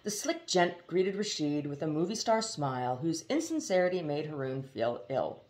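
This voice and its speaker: A woman reading a novel aloud as expressive storybook narration. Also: deep voice, normal speed. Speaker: audiobook reader.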